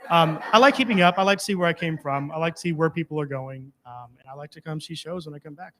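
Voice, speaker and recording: A man's voice: on the high side, fast, bright, forceful tone, rough recording. A man giving an informal, chatty testimonial as a guest before a live audience, mixing joking with earnest appeal. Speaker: guest speaker, alumnus performer of the theater.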